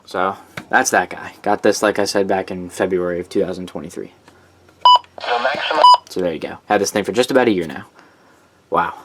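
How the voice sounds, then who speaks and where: A man's voice: low-pitched, normal speed, pauses often, very noisy. A man giving a casual, unscripted show-and-tell as a hobbyist collector, rambling informally with enthusiasm.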